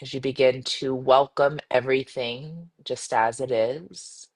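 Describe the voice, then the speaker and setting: Female meditation guide, mid-to-low voice for a woman, soothing and unhurried, gentle instructions with spacious pauses. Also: fairly even intonation. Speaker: meditation guide, yoga teacher.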